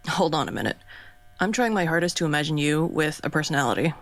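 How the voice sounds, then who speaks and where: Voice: mid-range voice, expressive, fast talker, a bit bright, dry recording. An actor plays a sarcastic radio co-host who throws insults at his partner. The delivery sounds dry and close, with no room sound. A faint hum sits under it. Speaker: radio co-host reading news. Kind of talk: radio drama acting.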